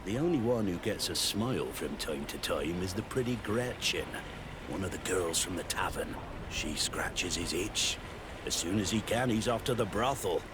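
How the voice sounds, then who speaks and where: A sly gossip of a sailor in a video game. His lowish voice rises and falls widely with knowing insinuation, over noisy harbour ambience. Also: expressive, moderate pace. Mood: sly, gossipy. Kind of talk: video game dialogue acting.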